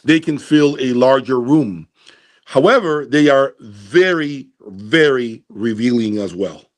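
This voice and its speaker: Male gear reviewer, mid-pitched voice, casual and opinionated host delivery, deliberate, with emphatic repetition. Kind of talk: casual opinionated commentary to viewers.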